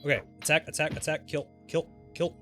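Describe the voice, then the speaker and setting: A man live-streaming a game with casual, exclamatory commentary, reacting out loud and swearing freely. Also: on the high side, level tone, fairly quick, faint music behind.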